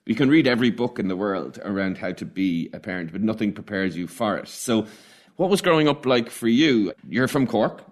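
A man hosting a casual interview, putting his questions in a halting, hesitant way. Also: lowish voice, expressive. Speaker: podcast host.

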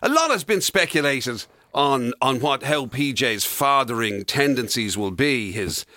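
An Irish man co-hosting a radio show in casual chat, with a halting, wry conversational manner. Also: medium pitch, lively intonation, pushed and bright, no echo.

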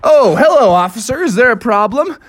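A defensive bear dad from a storybook, voiced by a storyteller, protesting with exasperated emphasis. The pitch sits a bit raised and moves around in a lively way. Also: fairly quick. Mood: polite.

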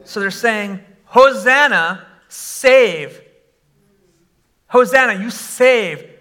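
A man preaching a sermon to a congregation, conversational with fillers, and breaking into animated shouts when he exclaims. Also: high-pitched, drawn-out words. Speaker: pastor.

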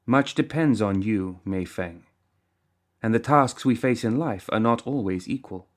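Man as a composed, commanding syndicate mistress, low-pitched. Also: lively intonation. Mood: gentle authority. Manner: measured, formal, authoritative. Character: composed, commanding female crime-syndicate mistress, stern yet compassionate. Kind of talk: audiobook character acting.

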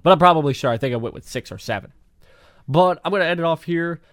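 Rambling young man, voice going hoarse. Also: fairly high pitch, lively intonation, fast talker, fairly bright tone, no room sound, clean studio sound. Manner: rambling, heavy use of filler "like". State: losing his voice, getting sick. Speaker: podcast host. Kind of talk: solo casual podcast monologue.

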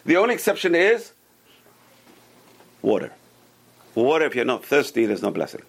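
A man's voice: medium pitch, big pitch swings, moderate pace, vocal fry. A man teaching an informal religious class to a group around a table, explaining step by step, repeating key points and asking the students questions. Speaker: Torah teacher.

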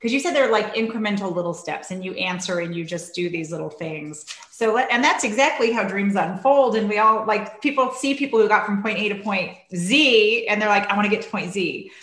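A woman's voice: medium pitch, lively intonation, brisk, few pauses, fairly bright tone, echoey room. A woman hosting an interview, asking encouraging questions with enthusiasm. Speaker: podcast host.

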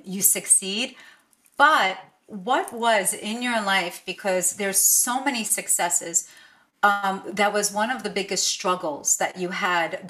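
A woman's voice: medium pitch, pushed and bright. A woman from the United States interviewing a guest, earnest and encouraging. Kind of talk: interview conversation.

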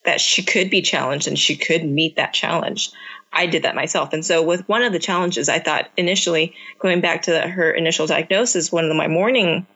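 A woman's voice: sits low, a little fast, bright, forceful tone, husky, dull audio. A woman answering interview questions about raising her young child, speaking earnestly and reflectively with frequent restarts, her first language Cantonese. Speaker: parent guest.

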